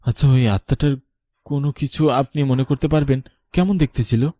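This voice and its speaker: A man's voice: mid-range voice, steady tone, fairly quick, warm tone, no room sound, studio quality, close to an everyday voice. A man playing a concerned villager in cartoon story dialogue, earnest and inquisitive. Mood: calm questioning. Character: concerned male villager questioning a distressed stranger.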